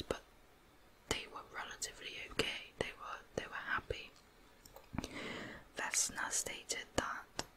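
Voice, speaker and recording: Hushed whispering ASMR storyteller. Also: poor audio. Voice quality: breathy unvoiced whisper. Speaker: ASMR true crime channel host.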